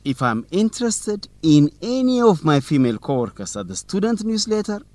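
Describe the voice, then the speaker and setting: An actor playing a teasing, suspicious young student newsletter reporter in an educational radio drama, with a determined manner. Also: male voice, high-pitched, very animated, moderate pace, darkish tone, dry recording. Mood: amused, incredulous.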